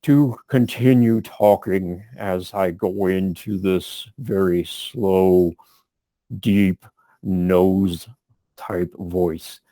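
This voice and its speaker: A man voicing a big Loxodon elephant-man, very slow and deep, with the voice pushed into his nose. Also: fairly even intonation, mellow, no echo. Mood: slow, ponderous. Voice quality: deep, nasal, heavily breathing through the nose. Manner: very slow, drawn-out speech.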